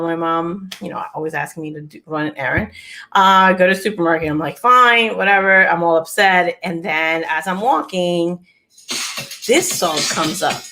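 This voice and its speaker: A woman in her forties from Peru, telling a personal story in a casual chat with many fillers and restarts, recorded over a video call. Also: lowish voice. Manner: animated, laughs often, many fillers and restarts. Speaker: co-host.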